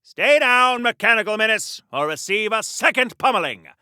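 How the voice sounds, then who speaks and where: An actor playing a pompous, gung-ho American superhero from a golden age comic in a video game, declaiming grandly like a 1940s radio serial hero. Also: male voice, sits high, lively intonation, normal speed, bright, forceful tone, clean tone, no echo, clean studio sound, exaggerated voice. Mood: taunting, triumphant. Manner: grandiose, theatrical, florid declamation.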